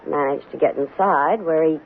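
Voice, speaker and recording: A woman playing an anxious, sympathetic housewife in an old-time radio drama, halting and emotive, repeating her words. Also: low-pitched, narrow, tinny audio. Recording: thin, noisy old radio broadcast.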